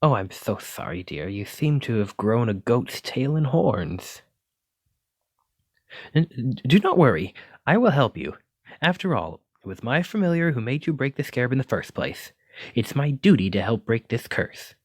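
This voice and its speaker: Man acting a fussy, kindly wizard, animated pitch. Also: moderate pace, no room sound, studio quality. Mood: apologetic, worried. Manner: fussy, apologetic, gently courteous, stammering when flustered. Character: clumsy, kindly wizard bookseller teaching magic. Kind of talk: ASMR roleplay addressed to listener.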